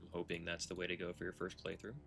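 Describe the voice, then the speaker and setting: A man's voice: low-pitched, monotone, rapid-fire, creaky, a bit bright. A man livestreaming a video game with casual, laid-back, offhand commentary.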